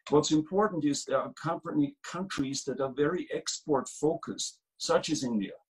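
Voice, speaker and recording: A man's voice: on the high side, normal speed, poor audio. A man from Austria speaking earnestly over a video call as a guest in a webinar interview, often restarting his sentences with fillers. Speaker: state trade director, guest speaker.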